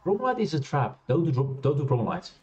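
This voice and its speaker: A man streaming a space game, chatting loosely with many fillers and restarts, laughing now and then. He has a loud voice. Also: fairly high pitch, huge pitch swings, fast, muffled recording. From Europe. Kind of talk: casual gameplay commentary. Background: quiet game music.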